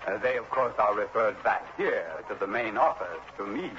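An actor playing an earnest, fretful insurance executive in a 1960s radio drama, wordy and hesitant, on a muffled, noisy old recording. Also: male voice, on the low side, moderate pace, fairly bright tone, close to an everyday voice. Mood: explaining, proud. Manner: hesitant, wordy, emphatic repetition.

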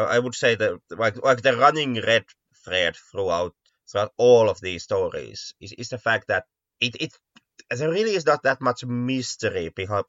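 A man's voice: on the low side, fairly bright tone. A man co-hosting a casual podcast discussion, stammering and often repeating words mid-sentence. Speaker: podcast co-host. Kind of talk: casual discussion of mystery stories. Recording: dull, lo-fi, roomy echo.

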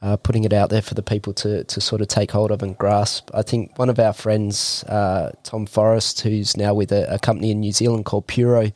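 A man's voice: fairly low pitch, little pitch movement, brisk, few pauses, darkish tone, dry recording, studio quality. A man hosting an interview, talking hesitantly with many fillers as he responds to his guest. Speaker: host. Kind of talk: interview host.

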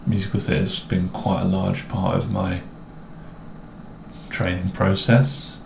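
A young adult man from the English Midlands with a mixed, somewhat posh English accent, co-hosting a casual chat in a relaxed, rambling way with self-mocking jokes. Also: sits low, takes it slowly, creaky. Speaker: co-host. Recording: echoey room with faint noise.

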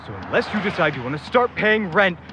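A man's voice: high-pitched, sing-song, a little fast. A man playing a grumpy, indifferent van owner in an audio drama, blunt and grumbling in a naturalistic style. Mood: bossy, irritated. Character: grumpy, indifferent van-owning man, older than his companions. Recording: muffled, with clear background noise.

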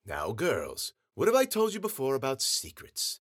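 A man voicing a posh older gentleman, an indulgent but sinister father, smooth and silky, with measured scolding. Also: medium pitch, huge pitch swings, dry recording, studio quality. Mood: patient chiding.

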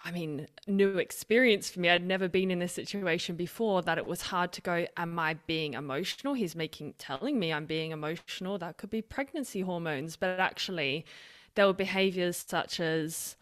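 Guest, woman, 30s, mixed Australian-British accent, lowish voice, candid reflective storyteller. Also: moderate pace. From Australia and Britain. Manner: open, reflective storytelling with frequent restarts. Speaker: podcast guest. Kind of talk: conversational interview.

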